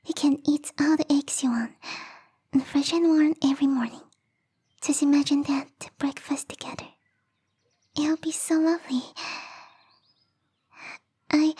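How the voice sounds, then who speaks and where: A woman voicing a lonely, bubbly young female snow spirit, soft and whispery, in a sweet, pleading ASMR roleplay. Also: high voice, close to an everyday voice. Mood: hopeful, eager.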